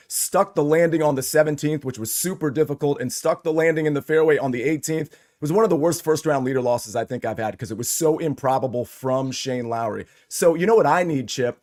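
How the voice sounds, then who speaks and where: A man's voice: a little high, a little mellow. A man hosting a sports betting show, upbeat and chatty, with a slightly scratchy voice. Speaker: host.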